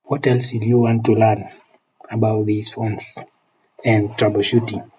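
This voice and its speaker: A man's voice: fairly low pitch, little pitch movement, unhurried, mellow, slight background noise, rough recording. A man with a Kenyan accent chatting casually on a livestream as he teaches repair and replies to viewers, often repeating his phrases. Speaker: phone repair technician and live streamer.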